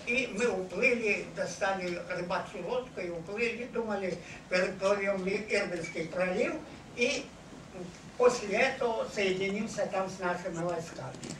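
A man in his eighties or older, a veteran recounting his memories to an audience, haltingly and searching for words. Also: high voice, fairly bright tone. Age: over 80. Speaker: war veteran giving testimony. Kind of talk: unscripted personal recollection to an audience. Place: meeting hall with seated audience. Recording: echoey hall, noisy, distant camera mic.

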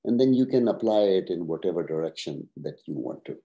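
A man in his sixties, originally from Pakistan, answering interview questions over a video call at a measured pace, with many "uh" pauses. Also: low voice, expressive, warm tone, lo-fi sound. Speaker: guest, professor and retired executive.

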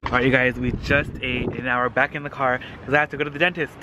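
A man in his thirties vlogging casually into a handheld camera, chatty and excitable, talking straight to his viewers. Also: medium pitch, fast talker, over loud music, poor audio. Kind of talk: casual vlog narration. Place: car, outdoor yard and thrift store.

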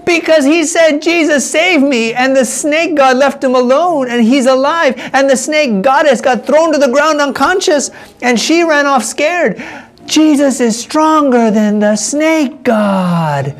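A woman voicing an excited little old village woman who gossips eagerly in a raised voice. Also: high-pitched, a little slow, voice pushed up. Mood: triumphant.

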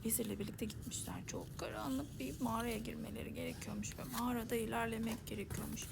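A woman reads a fairy tale for sleepy listeners in a soft storybook voice that slips into whispers. Her voice sits a little high and has a gravelly fry. A steady hiss runs under the recording. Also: fast talker. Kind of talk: ASMR bedtime story narration.

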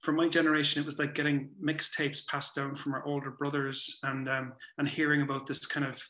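A man with a Cork Irish accent talks casually and reflectively, halting and rephrasing as he goes. His voice is bright and fairly level in pitch. It comes over a video call from a roomy indoor space. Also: monotone. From Cork, Ireland. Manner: halting, circling back to rephrase. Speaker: guest, playwright and performer. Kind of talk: casual reflective interview chat. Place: small room at home, indoors. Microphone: computer mic on a video call.

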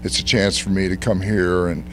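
An older man answering interview questions in a measured, thoughtful way, his voice slightly hoarse. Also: deep voice, flat delivery, slight background noise. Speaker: interview guest. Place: convention hotel room. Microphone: handheld mic held by interviewer.

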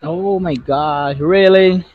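A man's voice: high voice, takes it slowly, no room sound, narrow, tinny audio. A young man chatting playfully as an English student on a headset over a video call, laughing a lot. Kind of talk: casual chat on a video call.

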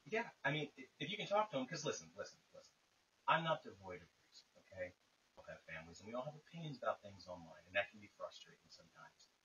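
A man's voice: fairly low pitch, very animated, quick pace, sharp tone, recorded from a distance. Man on a hissy, lo-fi phone call. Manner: insistent, wordy, formal phrasing. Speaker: caller. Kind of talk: tense, argumentative phone conversation.

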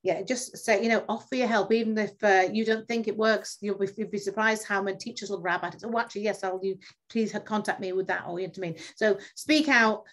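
A woman's voice: mid-range voice, fairly even intonation, fairly quick, a bit bright. A middle-aged woman speaking as a panel guest on a video call, upbeat and laughing between phrases. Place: indoor room with shelves.

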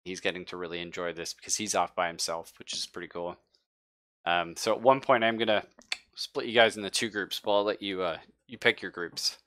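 A man speaking casually and haltingly as game master of an online tabletop game, thinking aloud. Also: low voice, fairly even intonation, fairly bright tone, dry recording.

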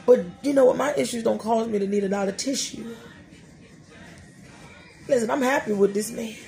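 A woman's voice: on the high side, normal speed, gravelly, soft background music. A woman in her thirties hosting a casual faith podcast, talking animatedly and preachily from a small room. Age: 30s. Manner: animated, preachy, talks to herself, laughing asides. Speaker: podcast host. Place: small room, indoors before a dark curtain.

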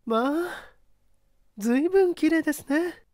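Guy doing a chatty flirty wife in a story, way high voice, gushy and polite, dry sound. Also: animated, normal speed, a little mellow, no room sound. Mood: gushing. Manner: polite, gushing feminine speech. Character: coquettish, chatty wife of a railway section chief.

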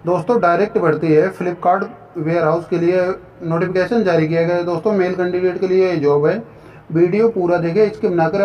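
A man hosting a job-listing channel, reading out a vacancy notice point by point and often addressing viewers as friends. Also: high-pitched. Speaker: job-listing YouTube host. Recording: distant, dull lo-fi mic with faint noise.

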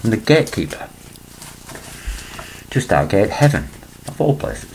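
An older man from England, speaking into a laptop webcam in a small room, rambling and clearing his throat between points. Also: on the low side, big pitch swings, unhurried, darkish tone, slight background noise, poor audio. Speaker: vlogger. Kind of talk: rambling conspiracy monologue to webcam.

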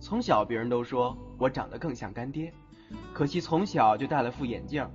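A man's voice: medium pitch, fairly quick, lightly acted. A man playing a curt, cool young male student who sees ghosts, in an audio drama. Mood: calm narration. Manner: curt, cool, threatening when annoyed. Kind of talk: Chinese audio drama acting. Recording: dull lo-fi audio, background music.

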